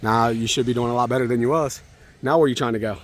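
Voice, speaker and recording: A man with a mid-pitched voice speaks as a dad to his young child. His talk is informal and encouraging, with simple guidance and casual chat. He is outdoors at a park. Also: fairly even intonation, fast talker. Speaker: father and vlogger.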